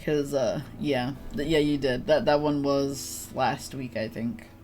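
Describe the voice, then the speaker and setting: A woman's voice: very deep voice, steady tone, unhurried, darkish tone. A woman livestreaming a game, reacting informally and chatting casually as she plays. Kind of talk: casual livestream chat while playing. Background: faint game music.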